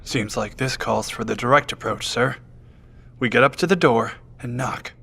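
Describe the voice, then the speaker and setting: A very gravelly, husky male voice from an actor in an audio drama. He plays a dutiful soldier, blunt but respectful to his superior. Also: mid-range voice, normal speed, sharp tone, full character voice. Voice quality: gravelly, raspy. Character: deferential, blunt male military subordinate.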